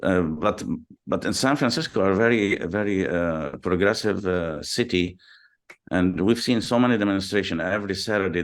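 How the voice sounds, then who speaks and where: Deep voice middle aged guy, born in lebanon, lots of ums and restarts, talking on a call. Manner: halting, many ums and repeated words. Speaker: guest. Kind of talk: video call interview.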